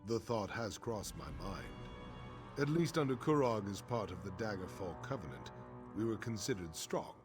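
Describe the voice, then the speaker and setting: Guy doing a honorable orc chief for a video game, measured and serious, deepish, very expressive intonation. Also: natural voice. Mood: reflective. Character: grave, honorable male orc warrior chief, middle-aged. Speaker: quest-giving game character. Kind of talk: scripted video game dialogue. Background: game music.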